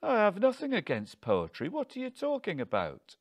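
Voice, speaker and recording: Tense older husband for an audiobook, acted by a male narrator: bitter, combative, quick-tempered, voice pushed up. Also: high voice, wildly swooping pitch, pitched above the performer's own voice, full character voice. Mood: defensive. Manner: defensive, confrontational.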